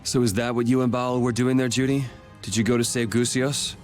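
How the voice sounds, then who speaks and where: A man's voice: medium pitch, level tone, fairly quick, faint music behind, natural voice. A man playing a cool-headed, plain-spoken young party leader in an English game dub, casual and matter-of-fact. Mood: calm, resolute.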